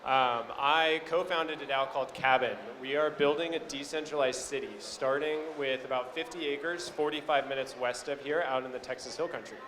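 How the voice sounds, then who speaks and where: A man's voice: mid-range voice, steady tone, normal speed, hardly any pauses. Casual male panelist with crowd behind him. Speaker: panel speaker. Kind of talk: casual introduction to an audience. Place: live stage event. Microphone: stage microphone. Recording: audience murmur at live event.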